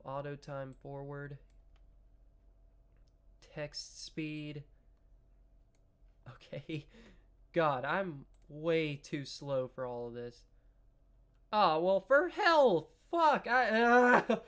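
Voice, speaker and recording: A man casually playing through a visual novel, reading lines aloud and cracking self-mocking jokes with swearing and sudden loud laughs. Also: on the high side, slow, long pauses, clean tone. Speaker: gaming YouTuber. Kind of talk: casual let's-play commentary with read-aloud narration.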